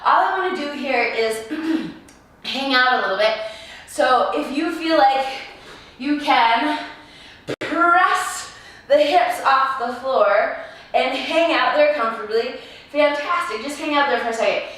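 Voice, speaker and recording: A young woman with a raspy, worn-out voice coaches a home workout, bubbly and given to little laughs. Her voice sits high, and she talks at an easy, unhurried pace. She sounds far from the mic in a strongly echoing room. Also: a bit bright. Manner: bubbly and giggly, coaching with playful asides. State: raspy, hoarse voice. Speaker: fitness coach. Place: apartment room. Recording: echoey room, distant from mic.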